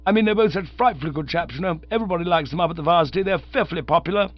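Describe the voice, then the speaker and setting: A man's voice: fairly high pitch, rapid-fire, no room sound, dull audio, voice pushed up, natural voice. A man voicing a breezy young Oxford student, rattling on chummily. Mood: breezy, chatty. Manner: rattling, chummy, full of "you know".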